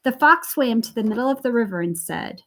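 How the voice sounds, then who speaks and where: A woman reading a children's story aloud with expressive narration. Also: a little high, fairly quick. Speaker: storybook reader.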